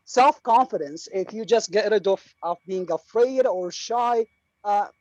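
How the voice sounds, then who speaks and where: Iraqi man, participant in an online class, halting and full of restarts, dry dull video call sound. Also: sits high, darkish tone, no echo. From Iraq. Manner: halting, many fillers and restarts. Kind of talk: casual speech to a class.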